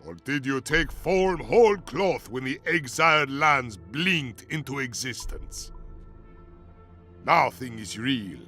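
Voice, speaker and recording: Slow, sharp-voiced eerie mystic. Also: male voice, medium pitch, exaggerated voice. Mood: bleak. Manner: cryptic, portentous, deliberate. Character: eerie, unsettling male mystic who doubts reality. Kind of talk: theatrical fantasy video game dialogue.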